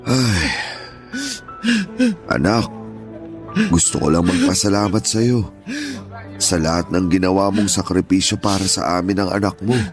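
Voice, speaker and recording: A man playing an aging father in a radio drama, stern at first and then gentle and pleading with his grown son. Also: sits low, steady tone, measured pace, long pauses, faint music behind. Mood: tender. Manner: pleading, earnest, scolding turning gentle. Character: aging father, stern yet tender, grieving. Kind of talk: Tagalog radio drama acting.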